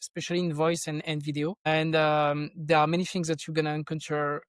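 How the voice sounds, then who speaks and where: A man's voice: fairly high pitch, level tone, a little fast, clean tone, no room sound, studio quality. A middle-aged man from France with a French accent, talking casually and thoughtfully as a guest in an interview. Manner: thoughtful, rambling, with frequent restarts. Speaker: podcast guest, tech company founder.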